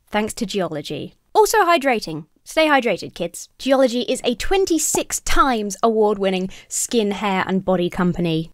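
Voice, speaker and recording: A young woman with a slightly croaky voice from a cold, giving sarcastic, animated commentary from a small home room into a desk mic. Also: medium pitch, no room sound, clean studio sound. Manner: sarcastic, animated, laughing asides. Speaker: commentary YouTuber. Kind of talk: casual commentary with sponsor read. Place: small home room, seated in gaming chair. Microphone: studio mic on stand near face.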